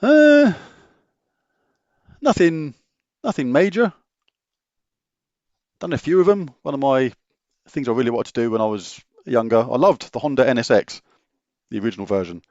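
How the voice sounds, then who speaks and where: A middle-aged man with a British accent chats through viewer questions in a matter-of-fact, rambling way, often restarting his sentences. His voice has a gravelly edge. The recording is dry and close, with a slightly dull, lo-fi tone. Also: mid-range voice, lively intonation, normal speed, long pauses, darkish tone, dry recording. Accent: British English. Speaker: YouTube gaming channel host answering viewer questions. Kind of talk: casual Q&A chat.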